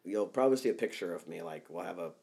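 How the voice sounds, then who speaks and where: A man's voice: deep voice, animated, fast, vocal fry, mellow. Blunt, fired-up man on a podcast. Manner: blunt, profane, gets worked up. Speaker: podcast co-host. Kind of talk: casual podcast chat.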